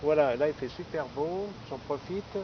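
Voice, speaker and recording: A man's voice: on the high side, normal speed. A man making rambling, casual amateur radio chat outdoors, often adding small tags to his phrases. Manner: chatty, rambling, tagging phrases with hein and là. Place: outdoors in a city park. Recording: dull, lo-fi outdoor recording with noise.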